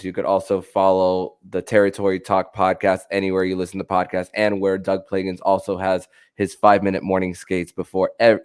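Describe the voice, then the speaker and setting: Dude hosting a sports podcast, low voice, super clear and smooth, chatty host style. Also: male voice, darkish tone.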